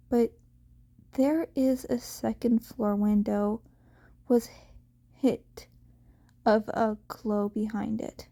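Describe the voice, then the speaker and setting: A woman narrates a written story in a soft, mellow voice that sits a little high. She takes her time, reading like an amateur audiobook narrator, and sometimes stumbles and restarts a word. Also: creaky. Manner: halting reading with stumbles and self-corrections. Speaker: amateur fan fiction audiobook reader.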